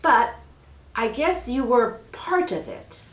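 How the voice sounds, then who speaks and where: Woman voicing an earnest, high-pitched storybook girl. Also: lively intonation, slow pace, echoey room, distant mic. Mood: firm, playful. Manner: bright, emphatic, raised voice. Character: earnest, trusting girl, bold in her faith.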